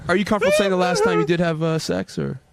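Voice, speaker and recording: Fast-talking man with a higher voice. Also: creaky, crowd noise. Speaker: radio show panelist. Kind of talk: casual questioning banter. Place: radio studio.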